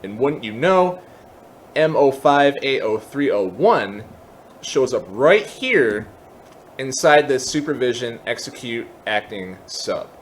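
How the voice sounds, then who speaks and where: A man with a medium-pitched voice hosts a casual software tutorial. He explains step by step in an informal, lightly self-deprecating manner. A faint layer of background noise sits behind his voice. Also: big pitch swings, slow pace. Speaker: tutorial host.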